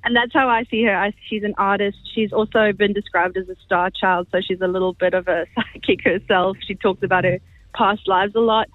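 Chatty mum on a phone line. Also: female voice, mid-range voice, fairly even intonation, a little fast, dry recording. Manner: warm, chatty, laughing easily. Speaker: caller, mother.

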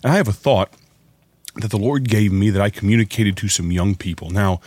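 A man hosting a radio gospel program with a slightly raspy, hoarse voice, talking in an earnest and conversational way. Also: on the low side, fairly quick, a little mellow, no echo. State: hoarse from preaching at camp. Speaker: radio evangelist host. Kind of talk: warm radio sermon introduction.

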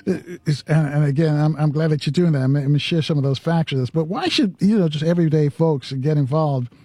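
A man hosting a live radio talk show, speaking in a halting way and repeating words as he goes. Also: a little high, dark tone, no echo, studio quality. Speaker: radio host. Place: radio studio.

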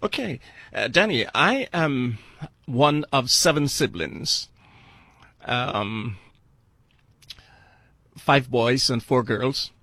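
An older man from Barbados, a radio guest telling his life story in an earnest, halting way. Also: mid-range voice, lively intonation, sharp tone, no room sound. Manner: earnest, halting with fillers and restarts.

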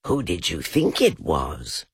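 A man voicing a slow, simple, honey-loving male bear who hums to himself dreamily. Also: low-pitched, wildly swooping pitch, husky, dry recording, clean studio sound, voice pushed down, heavily acted. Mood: puzzled.

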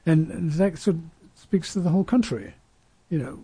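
Guy on a panel, dark husky voice, dry close sound, telling stories, restarts a lot. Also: fairly high pitch, expressive, moderate pace, no room sound. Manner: thoughtful, anecdotal, with frequent restarts. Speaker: panel discussion speaker. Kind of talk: reflective panel talk.

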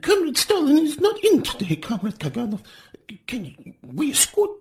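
Man putting on a high whiny sniveling police chief. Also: huge pitch swings, normal speed, a little mellow, exaggerated voice. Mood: fawning. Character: sniveling, fawning male secret police chief. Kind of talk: character voice in a read-aloud story.

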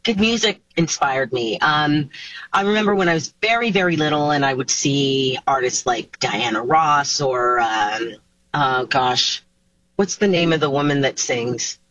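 Woman singer, video call, dull dry audio, lively swooping voice. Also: a little slow, no echo. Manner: animated, many restarts and fillers, swoops up in pitch. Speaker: singer, guest. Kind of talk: casual interview answers.

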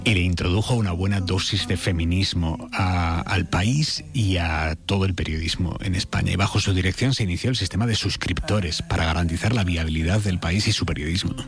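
A man's voice: deep voice, a little fast, hardly any pauses, soft background music. A man with a Spanish accent from Spain, narrating an audio profile as a news presenter.

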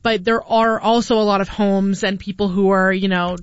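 A woman's voice: mid-range voice, steady tone, normal speed, clear, resonant tone, no echo, lo-fi sound. A Canadian woman chatting casually as a contributor on a radio show. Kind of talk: casual chat.

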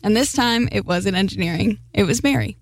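A woman reporter voices a public radio feature in a smooth, measured read. Her pitch sits at the middle and the studio sound is dry and close. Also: animated, no room sound. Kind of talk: scripted news feature narration.

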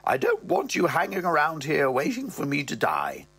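A man voicing a posh, blunt, tipsy elderly mother, imperious and scandalised, with long drawn-out words. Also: on the high side, wildly swooping pitch, creaky, a bit bright, breathy edge, no echo, pitched above the performer's own voice, full character voice. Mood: haughty, droll.

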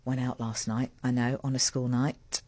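A woman hosting a morning radio show, talking into a large studio mic. Her voice is very low and husky, in a dry, close sound with faint music underneath. She rambles in a chatty, self-mocking way. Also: little pitch movement, moderate pace, dark tone, no echo. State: voice lower than usual after a late night. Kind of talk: chatty live radio talk. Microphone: large studio mic with a fluffy cover.

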